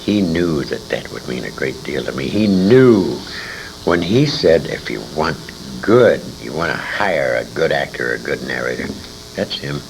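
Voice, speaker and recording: A man in his sixties telling stories in an interview, speaking emphatically and dramatically with pauses. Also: a bass voice, sing-song, vocal fry, rough recording. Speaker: interviewee, veteran radio actor. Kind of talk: conversational storytelling in an interview. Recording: hissy, humming 1980s amateur convention tape.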